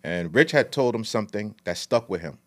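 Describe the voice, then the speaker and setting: A man's voice: fairly low pitch, lively intonation, fairly quick, fairly bright tone. A middle-aged man from Liberia, telling his story as a podcast guest in a casual, long-winded way with street slang. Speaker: guest, food entrepreneur.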